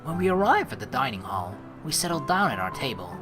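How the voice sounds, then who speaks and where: A woman's voice: extremely low pitch, sing-song, brisk, over music. A woman let's play streamer reading game text aloud and adding chatty commentary.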